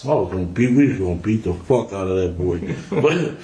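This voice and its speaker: A man's voice: low voice, expressive, fairly quick, warm tone. A man being questioned by police, casually recounting memories in profane street slang on a roomy, noisy interview recording. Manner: profane, slangy storytelling, acting out quoted exchanges.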